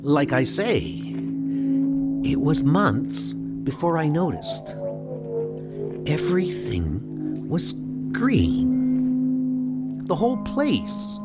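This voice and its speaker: A man playing a shaken, earnest superhero in a comic dub, telling his ordeal in a halting, intense way. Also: sits high, huge pitch swings, loud music behind the voice, exaggerated voice. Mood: shaken, recounting. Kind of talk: dramatic comic dub monologue.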